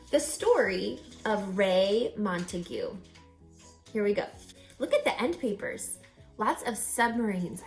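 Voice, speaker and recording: A woman reading a rhyming children's picture book aloud as a teacher, with theatrical, deliberate, emphatic phrasing. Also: on the high side. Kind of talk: expressive children's read-aloud of rhyming verse.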